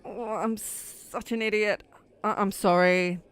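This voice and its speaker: A woman's voice: medium pitch, steady tone, takes it slowly, clean tone, natural voice. A woman playing a guarded, sarcastic but kind young woman in an audio drama, speaking in a casual, wry way. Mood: friendly, apologetic.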